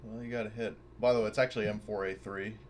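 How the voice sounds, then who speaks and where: Male gamer, on the lower side, informal table talk over slight noise. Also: steady tone, quick pace. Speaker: tabletop game player. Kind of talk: casual table chat.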